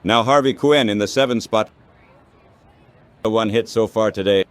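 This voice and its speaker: A man's voice: fairly low pitch, normal speed, clean tone, no room sound. A synthetic text-to-speech man's voice calling baseball play-by-play in a radio style, in short clipped phrases.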